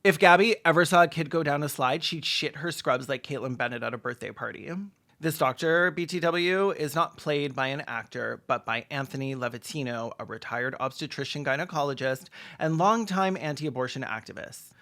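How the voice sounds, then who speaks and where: A man's voice: fairly high pitch, moderate pace, sharp tone. A man doing sarcastic, snarky comedic movie commentary as a video host, with dramatic emphasis. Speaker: film reaction video host.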